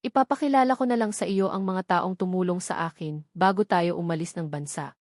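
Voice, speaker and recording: A woman's voice: on the low side, brisk, darkish tone, no echo, clean studio sound, close to an everyday voice. A woman with a Filipino accent voicing a devoted, anxious young mother in a narrated story.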